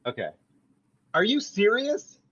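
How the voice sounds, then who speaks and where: A man's voice: high-pitched, huge pitch swings, slow, a bit bright, breathy edge, dull audio, heavily acted. A man reading the part of a defensive, self-impressed young male roommate at a sitcom table read. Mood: incredulous.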